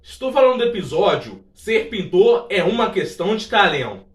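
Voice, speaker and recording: A Brazilian man with a notably high voice narrates an informal video essay. He speaks straight to the viewer with chatty, exclamatory asides. The sound is echoey and set well back from the microphone. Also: very animated, moderate pace. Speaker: YouTube presenter of a video essay on a TV sitcom. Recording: roomy echo, distant from the mic.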